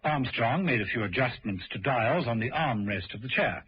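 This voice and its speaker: A man's voice: medium pitch, animated, normal speed, slight background noise. A man narrating a scripted vintage radio drama. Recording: dull old radio recording.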